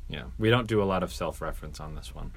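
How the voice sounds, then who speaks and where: A man's voice: low voice, fast. A young man co-hosting a casual podcast chat, laid-back, reading out search results as he talks. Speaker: podcast co-host.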